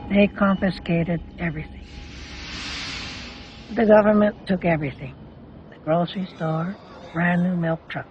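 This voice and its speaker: A man's voice: sits high, steady tone, a little slow, pauses often, muffled recording, slight background noise. An older man from Canada recalling his childhood in an informal, plain-spoken, matter-of-fact way. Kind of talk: informal reminiscence.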